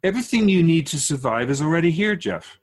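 Guy acting as calm otherworldly soul keeper, bit husky, formal and teachy, radio drama style. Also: a little high. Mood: measured, explaining. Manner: measured, formal, didactic, rhetorical questions. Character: calm, wise otherworldly Keeper of souls, addressing humans. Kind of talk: radio drama dialogue, philosophical counsel.

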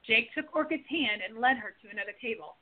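Woman, scripted drama narration, fairly high pitch, phone-like narrow recording. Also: quick pace. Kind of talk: scripted radio play narration. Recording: tinny narrow-band phone-like audio.

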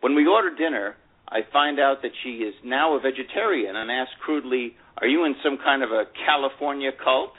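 Man acting older divorced guy telling his love story, wistful n proud, kinda halting, muffled radio-ish sound. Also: mid-range voice, breathy edge, close to an everyday voice. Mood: wry. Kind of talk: dramatic memoir reading, first-person narration.

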